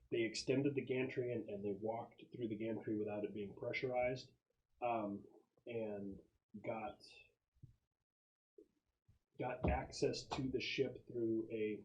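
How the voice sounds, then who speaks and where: A man improvising narration as game master of a tabletop game, halting as he thinks aloud. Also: mid-range voice, darkish tone, muffled recording.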